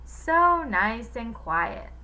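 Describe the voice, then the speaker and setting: A woman voicing a sly villainous male teacher who talks in a slow, falsely pleasant, syrupy voice. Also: a little high, big pitch swings, bright, forceful tone, heavily acted. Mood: smug.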